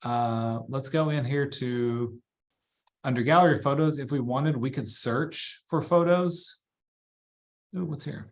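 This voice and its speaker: Man casually talking through a software demo. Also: mid-range voice, a little slow. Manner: chatty, informal, thinking aloud as he works. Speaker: real estate trainer. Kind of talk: casual live software walkthrough.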